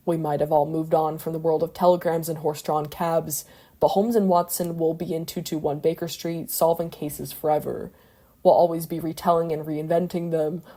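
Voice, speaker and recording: Woman doing a video essay, low voice, soft and mellow, thoughtful and analytical with casual asides. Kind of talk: scripted video essay narration.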